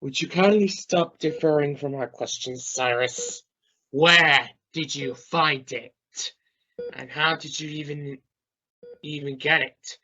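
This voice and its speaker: A man's voice: a little high, slow, pushed and bright, highs cut off. A man playing an exasperated, suspicious space crewman in an amateur radio drama, questioning emphatically. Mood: stern. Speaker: amateur radio play actor.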